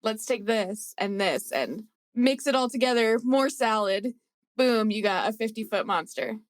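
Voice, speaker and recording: A woman with a fairly high voice chats in a playful, informal way, peppering her talk with "like". She is recorded dry, without room echo. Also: unhurried. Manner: informal, playful, says "like" often. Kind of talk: casual chat.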